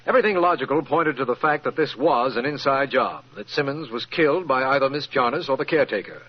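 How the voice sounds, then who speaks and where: A man's voice: mid-range voice, lively intonation, a little fast, husky, muffled recording. An actor playing an earnest, puzzled lawman who questions his detective friend as the straight man in an old-time radio mystery. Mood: puzzled, curious. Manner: inquisitive, straight-man delivery.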